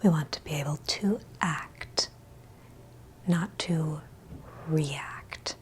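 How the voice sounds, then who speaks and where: A woman whispering a scripted ASMR guided meditation in a breathy, gentle, soothing way, leaving long pauses between short phrases. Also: deep voice.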